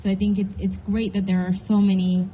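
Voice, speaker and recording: A woman from Kenya speaking on a panel, measured and articulate. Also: mid-range voice, flat delivery, soft background music. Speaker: panelist, venture investor. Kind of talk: panel talk. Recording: dull lo-fi event audio.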